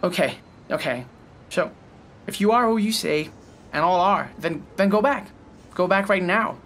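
A man's voice: high-pitched, animated, unhurried, a bit bright, a little hiss or hum, exaggerated voice. A man playing a gloomy, anxious young man who speaks wearily and fretfully about the state of the world. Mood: flustered. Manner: weary, complaining, fretful.

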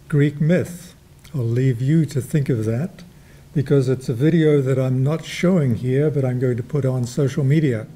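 An older man with a New Zealand accent, moderating a gallery panel discussion in slow, reflective remarks with touches of dry humor. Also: medium pitch, mellow. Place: gallery room with a panel table.

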